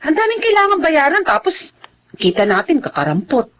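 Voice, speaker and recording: A woman's voice: high voice, very animated, moderate pace, a bit bright, a little hiss or hum. A woman acting in a Filipino drama as a fed-up, struggling wife and mother who nags and reproaches. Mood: frustrated, reproachful. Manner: nagging, reproachful.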